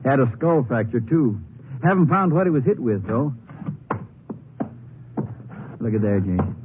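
A male actor plays a plain-spoken small-town sheriff, folksy and earnest. His voice sits at an ordinary pitch for a man, on a muffled, slightly noisy old radio drama recording. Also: lively intonation, unhurried, lightly acted. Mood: somber, folksy. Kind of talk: 1950s radio drama acting.

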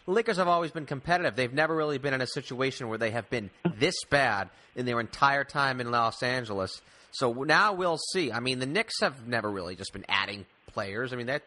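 A man's voice: medium pitch, bright, forceful tone. A man hosting a casual sports interview, blunt with his opinions and repeating points for emphasis. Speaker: sports podcast host.

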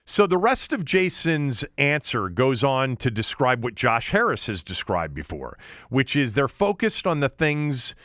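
A man hosting a sports talk show, giving opinionated commentary in deliberate, emphatic phrases with points repeated for weight. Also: mid-range voice, sing-song, a bit bright, no echo, studio quality. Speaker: sports talk podcast host.

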